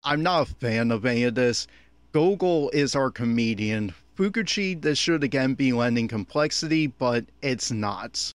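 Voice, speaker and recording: A man's voice: medium pitch, expressive, moderate pace, smooth, dry recording. A man giving an unscripted running commentary in a critical, exasperated, opinionated manner. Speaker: commentator on an anime episode.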